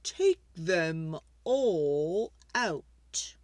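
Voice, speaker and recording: A woman voicing a wise old man in a children's storybook, pondering and deliberate, drawing out the words. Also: fairly low pitch, big pitch swings, a little mellow, dry recording, full character voice. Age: older. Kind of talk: children's storybook read-aloud.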